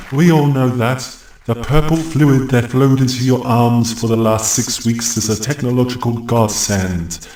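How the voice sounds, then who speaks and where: A man's voice: medium pitch, darkish tone, close to an everyday voice. A man playing a pompous, self-important university chancellor giving a theatrical speech in a comic audio drama. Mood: grandiose. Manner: pompous, declamatory. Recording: echoey, distant mic, crowd, faint noise.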